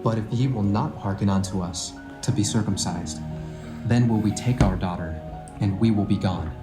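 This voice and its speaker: Male actor as guarded, stern brother, fairly low voice, formal delivery, roomy echo, clear music underneath, audio scripture drama. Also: moderate pace, lightly acted. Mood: firm, guarded. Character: stern ancient herdsman brother, firm and guarded.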